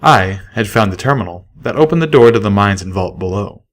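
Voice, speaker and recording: A man reads first-person fiction as an audiobook narrator. His voice sits on the low side, and the delivery is scripted storytelling. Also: animated, a little fast. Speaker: audiobook reader.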